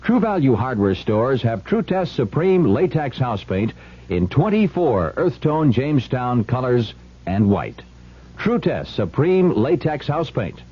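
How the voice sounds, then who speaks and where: A man reading a scripted radio commercial as a spokesman, pitching directly to the listener. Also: breathy edge. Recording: muffled old radio broadcast.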